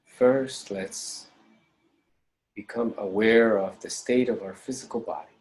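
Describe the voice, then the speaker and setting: Male meditation instructor, low register, slow calm delivery in short measured phrases with long pauses. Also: poor audio.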